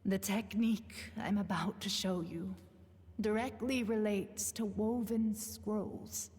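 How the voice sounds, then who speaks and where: Game role: wise, serene elderly woman weaver near death. Very breathy, unhurried, long reverb. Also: mid-range voice, steady tone, darkish tone. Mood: serene, solemn. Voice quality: breathy, husky.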